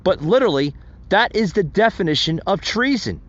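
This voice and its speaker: A man's voice: sits high, lively intonation, brisk, muffled recording. A man hosting a podcast, giving impassioned solo political commentary and often calling out to his listeners as folks. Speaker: podcast host.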